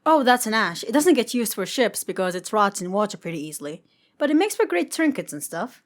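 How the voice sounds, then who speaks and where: A woman reader voices a down-to-earth young adventurer woman in a fantasy tale. She talks casually and plainly, as a practical friend would. Her pitch is average for a woman. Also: sing-song, fairly quick, lightly acted. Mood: explaining. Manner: casual, matter-of-fact.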